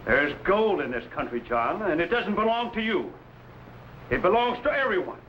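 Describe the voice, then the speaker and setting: Brash gold-rush schemer, animated highish voice. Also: male voice, normal speed. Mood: defiant, threatening. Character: Sam, brash, opportunistic gold-rush newspaperman and trader. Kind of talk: old adventure serial acting. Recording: dull, faintly noisy vintage recording.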